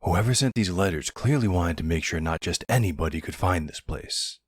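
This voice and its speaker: A man playing a nervous, affectionate boyfriend in an intimate audio roleplay, hesitant and gently teasing. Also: low-pitched, animated, normal speed, breathy edge, no echo, studio quality. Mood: tender, tired.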